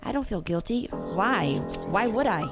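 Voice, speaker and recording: A woman's voice: mid-range voice, lively intonation, a little slow, loud music behind the voice. A woman playing a young vigilante killer, cold, defiant and self-righteous, in an audio drama. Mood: cold denial.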